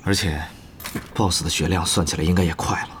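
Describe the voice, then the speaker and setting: An actor playing an earnest, analytical guild leader from an online game in an audio drama. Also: male voice, sits low, fairly even intonation, brisk, a little hiss or hum. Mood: defeated, brooding. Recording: loud music behind the voice.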